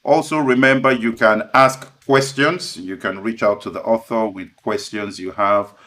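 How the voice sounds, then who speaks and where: A man's voice: lowish voice, fairly even intonation, unhurried, pushed and bright. A middle-aged man co-hosting a live online author interview show, speaking indoors over a video call. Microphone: video-call microphone.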